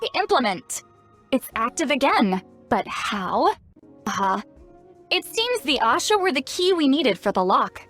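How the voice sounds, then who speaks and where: A woman's voice: sits high, very animated, measured pace, fairly bright tone, soft background music, exaggerated voice. An actress playing a cocky, blunt young female shaman in a video game, speaking in a self-assured, brusque, explanatory way. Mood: thoughtful. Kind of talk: animated video game voice acting.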